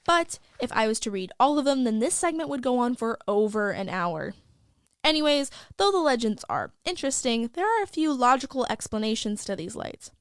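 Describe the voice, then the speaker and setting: Chatty small-town news reporter, played by a woman, wry and gossipy, high-ish voice, very dry recording, fictional news podcast. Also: very animated, moderate pace, clear, resonant tone, no echo. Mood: wry, curious. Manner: conversational, wry, inquisitive. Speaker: reporter and host. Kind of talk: scripted fictional news narration.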